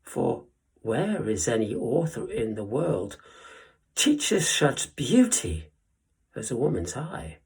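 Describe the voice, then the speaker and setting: An actor playing a witty, impassioned young lord in a theatrical verse monologue, declaiming with deliberate pauses and swelling emphasis. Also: male voice, mid-range voice, wildly swooping pitch, vocal fry, darkish tone, breathy edge, full character voice. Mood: reasoning, persuasive. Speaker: Shakespearean actor performing a monologue.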